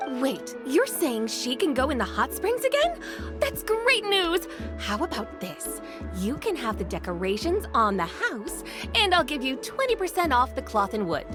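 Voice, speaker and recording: A woman's voice: sits high, wildly swooping pitch, a little slow, heavily acted. A woman playing a good-natured, teasing shopkeeper in a video game, wry and familiar in manner. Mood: surprised, pleased. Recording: game music behind the voice. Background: game music.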